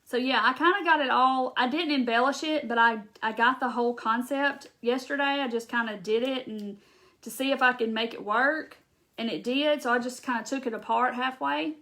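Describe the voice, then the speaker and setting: Southern woman, live craft host; crisp bright tone, chatty and rambling, talks right to viewers. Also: fairly high pitch, moderate pace. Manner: chatty, talks straight to viewers and answers comments; rambling with restarts and laughs.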